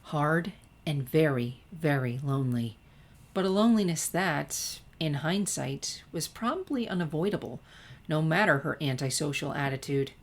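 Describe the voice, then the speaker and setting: A woman narrator with a low-pitched voice reads fiction aloud. Her delivery is scripted story narration, told in the manner of an audiobook. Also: moderate pace. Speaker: narrator, fan fiction reader.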